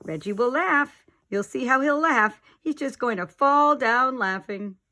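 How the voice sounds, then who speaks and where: A woman's voice: high-pitched, lively intonation, a little slow, sharp tone, pitched above the performer's own voice, full character voice. A woman voicing a teasing older sister in a storybook read-aloud. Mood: taunting.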